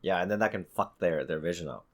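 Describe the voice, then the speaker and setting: A man's voice: sits low, quick pace. A man co-hosting a gaming podcast, bantering casually with friends and swearing bluntly.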